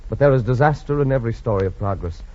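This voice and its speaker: A man's voice: medium pitch, animated, fast, darkish tone. A man narrating a scripted 1940s radio drama in a dramatic, grandiose, solemn manner. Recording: muffled old radio recording with music.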